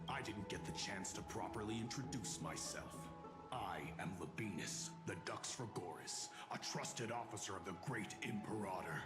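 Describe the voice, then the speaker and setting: An actor playing a proud, stern, fiercely loyal military officer in a video game, speaking formally and haughtily. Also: male voice, low-pitched, close to an everyday voice. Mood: haughty. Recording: echo under loud music.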